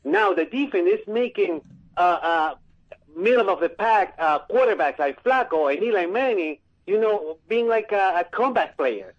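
A man from Peru with a Peruvian Spanish accent, calling in to a sports talk radio show, eager and halting with fillers. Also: sits high, animated. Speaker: caller. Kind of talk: call-in radio question. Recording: lo-fi phone-in line.

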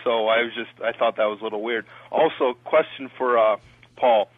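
A man with a Canadian accent calls in to a sports radio show, pausing often with "uh" and "um". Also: medium pitch, no echo. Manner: hesitant, fills pauses with "uh" and "um". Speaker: radio caller. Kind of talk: phone-in call to a sports talk show. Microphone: telephone. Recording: tinny phone line.

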